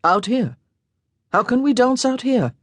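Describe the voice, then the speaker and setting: An earnest, gangly young man, as the reader voices him in a storybook, plain and a little defensive. The tone is husky and close-miked in a dry booth. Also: medium pitch, big pitch swings, measured pace, dry recording, pitched above the performer's own voice. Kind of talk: audiobook character voice.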